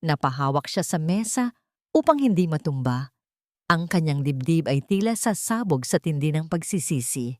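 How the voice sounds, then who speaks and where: A woman telling a dramatic audio story with a low voice that rises and falls a great deal. She reads with feeling, and the sound is close and dry. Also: no room sound. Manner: emotive, expressive.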